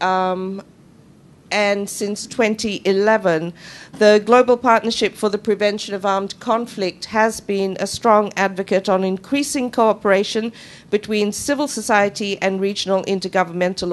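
A middle-aged woman moderating a conference panel, introducing the speakers in a measured way with frequent ums, speaking into a handheld microphone in a conference room. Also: medium pitch, steady tone.

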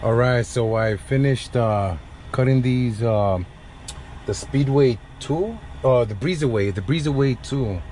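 A man's voice: medium pitch, unhurried, warm tone. A man vlogging as a trucker, talking casually to viewers while he works, outdoors at a gas station at night on a head-mounted camera. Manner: laid-back, talks to viewers while working, mixes in Spanish phrases. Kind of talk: casual how-to vlog. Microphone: head-mounted action camera. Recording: noisy outdoor vehicle ambience.

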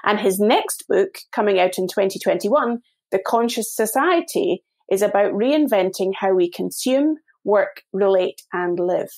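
A woman's voice: medium pitch, lively intonation, a little slow, hardly any pauses, darkish tone. A woman hosting a podcast, reading a polished introduction and asking interview questions. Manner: polished, welcoming presenter delivery. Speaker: podcast host.

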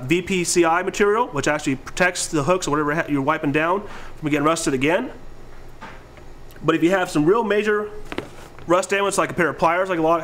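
A man's voice: a little high, fairly bright tone. A man in his thirties giving a casual, plain-spoken product demonstration as a presenter. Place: indoor warehouse aisle lined with stock bins.

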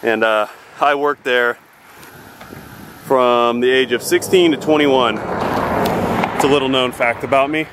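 A young man in his twenties talks easygoingly to his own camera, held at arm's length. He rambles a little and leans on fillers as he goes. His pitch sits at an ordinary middle, and clear outdoor noise runs underneath. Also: fairly even intonation, slow pace, pauses often. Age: 20s. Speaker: fitness vlogger. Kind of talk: casual vlog talk to camera. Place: outdoors by a river and on a lawn. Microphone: handheld camera at arm's length.

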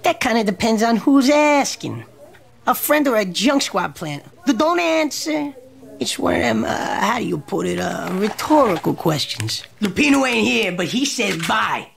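An actor playing a crude, sneering mobster in a crime game, with a mocking, showy delivery. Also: male voice, sits high, sing-song, moderate pace, creaky, fairly bright tone, full character voice.

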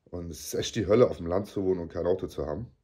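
A man in his forties with a cold, talking casually and excitably into a phone camera held at arm's length. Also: sits low, very fast, breathy edge, no echo, studio quality. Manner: chatty, excitable, swears casually. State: has a cold. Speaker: vlogger. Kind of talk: casual vlog. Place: living room, then car park and car interior.

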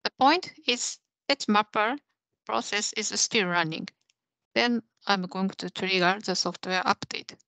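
A woman's voice: mid-range voice, unhurried, pushed and bright, no echo, low-quality recording. A young adult woman giving a technical demo on a video call, explaining things step by step. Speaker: presenter of a software demo. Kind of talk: technical presentation with live demo. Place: small indoor room, on a video call.

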